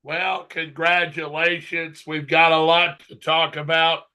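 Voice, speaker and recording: A man's voice: on the high side, level tone, unhurried, sharp tone, smooth. A man hosting a casual sports podcast while under the weather, his voice worn out, with a dry, teasing way of talking. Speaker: podcast host.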